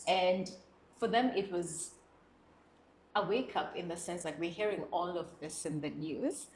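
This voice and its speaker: A young woman from South Sudan, talking as an interview guest in an earnest, expressive way. Her voice sits low for a woman. The sound carries a clear echo from the room. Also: a little slow, a little hiss or hum. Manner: earnest, expressive, laughs often, animated when speaking with pride. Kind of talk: reflective interview conversation.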